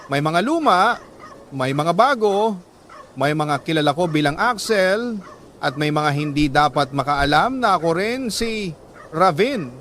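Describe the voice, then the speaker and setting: Higher-voiced Filipino man narrating a dramatic story. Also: expressive, a little slow, a bit bright, a little hiss or hum. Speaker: radio-style storyteller reading a letter sender's love story. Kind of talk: dramatic scripted first-person narration.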